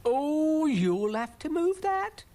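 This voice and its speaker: A man voicing an excitable, fussy, easily panicked snail with a squeaky voice in a children's story. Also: slow, exaggerated voice. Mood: indignant. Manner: exclaiming, indignant. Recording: clearly noisy recording.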